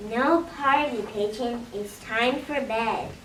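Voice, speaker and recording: A woman's voice: a little high, slow pace, fairly bright tone. A young girl playing a firm woman at bedtime in a school reader's theater. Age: child. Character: firm woman putting a pigeon to bed. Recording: roomy, distant mic with some noise.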